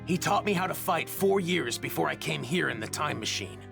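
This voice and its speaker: Young male warrior from a ruined future, anime game dub: grave, polite, very creaky, clear background score. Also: a little high, expressive, music in the background. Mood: somber. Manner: solemn, deliberate, polite.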